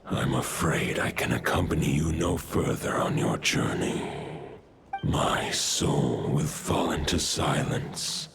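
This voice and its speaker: An actor playing an ancient wolf god saying a calm farewell in a slow, grave, half-whispered voice. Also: male voice, extremely low pitch, vocal fry, exaggerated voice. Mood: solemn. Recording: game audio with noisy ambience.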